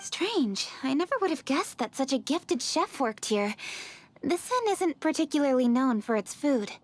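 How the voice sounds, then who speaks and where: A woman's voice: sits high, sing-song, moderate pace. An actress playing a poised, formal young woman in an anime-style video game, articulate and composed.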